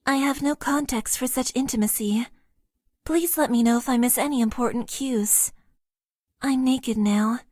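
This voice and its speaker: A woman's voice: on the high side, moderate pace, dry recording, clean studio sound, close to an everyday voice. A woman playing a stiff, shy mecha-pilot girl. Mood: nervous. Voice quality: stiff, overly formal phrasing. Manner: earnest, shy and deadpan, nervous stammers. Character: formal, awkward young female mecha pilot, emotionally inexperienced. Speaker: dating-game character. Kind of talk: game voice lines. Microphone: close studio mic, dry.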